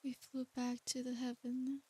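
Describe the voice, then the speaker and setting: A woman playing an ancient, tender and seductive succubus demon in a soft ASMR bedtime roleplay. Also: high-pitched, monotone, no echo, poor audio. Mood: solemn, wistful. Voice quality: soft, breathy. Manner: slow, intimate, soothing bedtime delivery.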